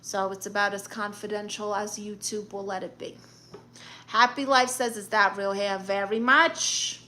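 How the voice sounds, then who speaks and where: Brash Brooklyn woman streamer, bright voice. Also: medium pitch, level tone, normal speed. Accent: New York. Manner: brash, sassy, joking. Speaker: livestream host. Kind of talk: casual live chat reading comments.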